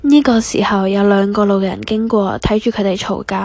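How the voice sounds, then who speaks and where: A woman with a Cantonese accent giving calm scripted narration in a church skit. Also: mid-range voice, no room sound. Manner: calm, measured reading.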